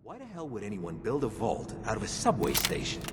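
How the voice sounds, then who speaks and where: An actor playing an irritable, belligerent male gangster thug in a video game, gruff in manner. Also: lowish voice, huge pitch swings, fairly quick, heavy background noise. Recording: music-covered, echoing hall.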